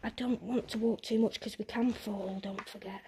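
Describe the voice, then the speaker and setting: A woman's voice: mid-range voice, steady tone, moderate pace, a little hiss or hum. A woman whispering cautiously as she films her walk through a derelict building on a phone. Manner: hushed, cautious, often whispering. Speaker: urban explorer filming. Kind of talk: whispered exploration vlog. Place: derelict brick building, indoors. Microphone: handheld phone camera.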